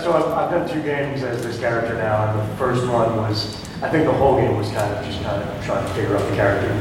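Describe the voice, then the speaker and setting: A man speaking on a panel in a large, echoey hall, his voice distant from the mic, with steady noise behind him. He tells easygoing, self-deprecating stories about his work and laughs often. His pitch sits in an ordinary middle range. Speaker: voice actor guest. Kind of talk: panel interview, casual storytelling.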